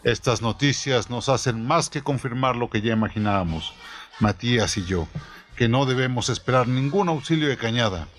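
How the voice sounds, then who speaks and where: A man's voice: mid-range voice, expressive, normal speed, close to an everyday voice. A man playing a formal, commanding rebel priest. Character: authoritative rebel priest commander addressing his men.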